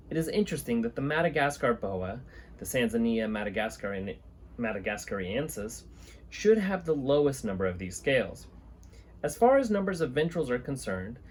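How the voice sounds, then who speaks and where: A man in his thirties speaks at a middling pitch, like a channel host sharing a book with viewers. He sits in a small room, and there is some steady background noise around his voice. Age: 30s. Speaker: host of a reptile-keeping channel, reading books aloud. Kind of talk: reading aloud and informal talk to camera. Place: small indoor room with reptile enclosures and a fan.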